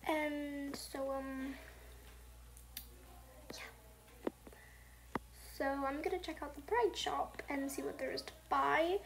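Soft-spoken young woman, half-whispering. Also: sits high, fairly even intonation, slow pace, creaky, pauses often. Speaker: gaming channel host. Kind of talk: casual gameplay commentary. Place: home with family noise. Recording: noisy home recording.

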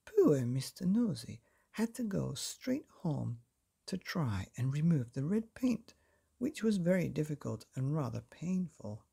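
Husky, animated man reading a bedtime story. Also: on the high side, measured pace. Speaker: storyteller reading a children's book. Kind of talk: expressive bedtime story narration.

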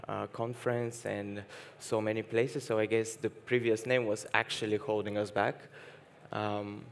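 A man's voice: fairly low pitch, gravelly, a little hiss or hum. A young man from Bulgaria speaking frankly on a conference panel, with many hesitations and restarts. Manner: frank, hesitant restarts, laughs while talking. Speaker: panelist, dating app founder. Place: stage at a conference hall.

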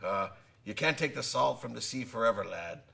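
A man playing a weathered, kindly old sea captain in a radio drama, with a gravelly voice and folksy, salty sailor talk. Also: mid-range voice, expressive, normal speed, sharp tone, heavily acted. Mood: weary, fatherly. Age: older. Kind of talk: theatrical radio drama acting.

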